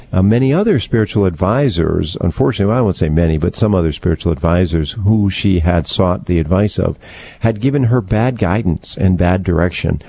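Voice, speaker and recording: A man co-hosting a conversational book discussion, explaining earnestly in a halting, deliberate way. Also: deep voice, gravelly, mellow. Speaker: co-host presenting book recommendations.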